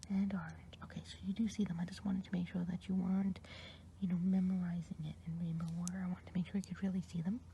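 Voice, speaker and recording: Low, mellow whispers from a good forest witch who chats and gossips gently while she tends to you, as a woman acts her out. The voice sits a little deep for a woman and sounds soft and warm. She rambles in a kindly, homely way, like an ASMR roleplay healer. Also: flat delivery, fast talker, slight background noise, close to an everyday voice. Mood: coaxing. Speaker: ASMR artist.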